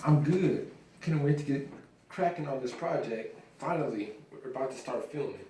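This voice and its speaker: A man's voice: mid-range voice, expressive, normal speed, warm tone, close to an everyday voice. A man reading the part of an eager, easygoing young film actor at a script table read. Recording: roomy, distant mic, faint noise, clicks.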